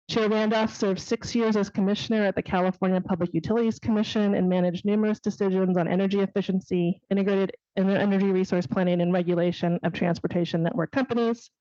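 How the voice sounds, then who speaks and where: A woman hosting an event, reading speaker bios in a steady, formal way. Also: mid-range voice, no echo. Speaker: event host introducing speakers. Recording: dull, dry audio.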